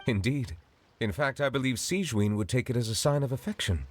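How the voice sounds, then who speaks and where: An actor playing a solemn, formal male judge in a video game, speaking in a measured, courteous way. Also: mid-range voice, no echo, lightly acted. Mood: formal, calm. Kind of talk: game character dialogue. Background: game music.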